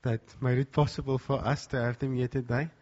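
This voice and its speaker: A man introduces guests to a church gathering in a relaxed, informal way. His voice sits at an ordinary pitch. The recording sounds dull and lo-fi. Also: brisk. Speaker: church host.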